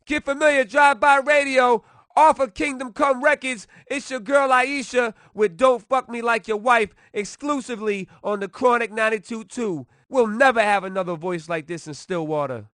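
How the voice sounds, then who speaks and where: A man's voice: extremely high pitch, normal speed, pushed and bright, dry recording, clean studio sound. A man performing as a brash, hyped-up hip-hop radio DJ, announcing in punchy, slangy, promotional patter. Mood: respectful. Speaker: radio DJ host.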